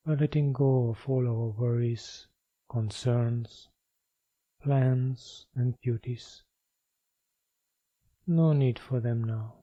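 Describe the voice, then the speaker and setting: A man leading a guided meditation as a teacher, speaking in a measured, instructional way with long pauses. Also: mid-range voice, mellow, highs cut off.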